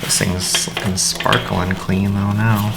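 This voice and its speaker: A man's voice: fairly low pitch, flat delivery, slow. A man chatting casually as he works on a repair in a home how-to video. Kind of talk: casual chat while working. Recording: clearly noisy, clattering tools.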